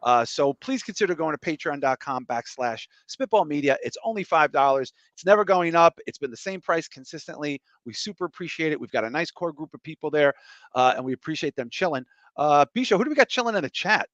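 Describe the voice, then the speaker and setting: A man's voice: on the high side, lively intonation, fairly quick, a bit bright, dry recording. A man hosting a casual comedy podcast, cracking crude jokes and plugging the show with energy. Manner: crude joking, enthusiastic show plugs. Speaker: podcast host. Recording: muffled, slightly lo-fi stream audio.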